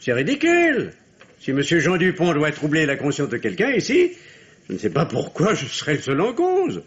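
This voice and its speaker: A man's voice: fairly high pitch, huge pitch swings, moderate pace, husky, heavily acted. An actor playing a nervous, sarcastic middle-aged family man in a French radio drama, hesitant and swinging between obsequious and indignant. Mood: defensive, sarcastic. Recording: dull, noisy old radio recording.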